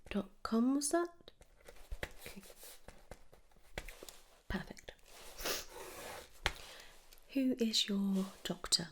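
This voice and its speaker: A British woman whispering softly and gently in an ASMR medical roleplay, reassuring and unhurried, close to the mic. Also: a little high, lively intonation, slight background noise. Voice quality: breathy whisper and hushed soft voice. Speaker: ASMR performer playing a dental receptionist or dentist.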